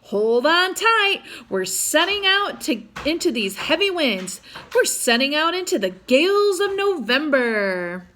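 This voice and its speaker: A woman's voice: high-pitched, sing-song, measured pace, a little hiss or hum, pitched above the performer's own voice, full character voice. A woman voicing a bold male lifeboat captain who commands and rallies his crew in a storybook. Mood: commanding. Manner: commanding, shouted orders.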